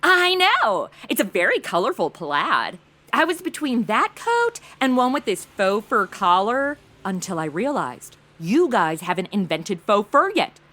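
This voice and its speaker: Bright, animated, ditzy modern gal. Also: female voice, medium pitch, a little slow, full character voice. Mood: sarcastic, breezy. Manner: sarcastic, exclaiming, modern slangy delivery. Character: brash, self-absorbed, ditzy modern young woman. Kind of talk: comedic audio drama acting.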